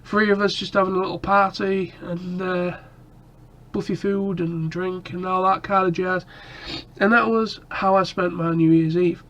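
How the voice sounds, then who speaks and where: Man with a head cold, rambling vlog chat, high voice, muffled lo-fi sound. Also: fairly even intonation, a little slow, a bit bright. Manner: rambling, lots of ums and restarts. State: stuffy nose and cough from a cold. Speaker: vlogger. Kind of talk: casual chat to camera.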